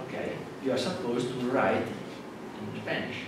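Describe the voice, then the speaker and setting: A man with an Italian accent, a native Italian speaker, giving a classroom lecture in a halting way with many fillers and restarts. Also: mid-range voice, fairly even intonation. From Italy. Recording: echoey hall from far off with noise.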